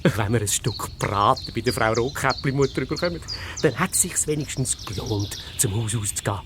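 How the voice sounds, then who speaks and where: An actor playing a grumpy, greedy fox detective in a children's radio play, impatient and dismissive, with a Swiss German accent. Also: male voice, mid-range voice, fairly quick, noisy background, natural voice. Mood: grumbling. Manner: impatient, dismissive, self-important.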